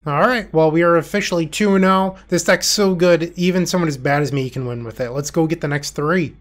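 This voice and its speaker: A man's voice: fairly high pitch, brisk. A man with a congested, nasal voice from being sick, giving casual self-deprecating commentary on his own online card game. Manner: self-deprecating, thinking aloud. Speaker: gameplay commentator.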